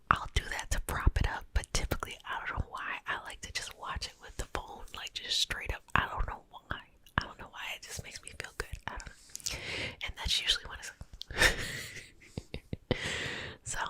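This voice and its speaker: A young adult woman whispering an ASMR guided healing session, affectionate and chatty, calling listeners babies. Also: sharp tone. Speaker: energy healing teacher.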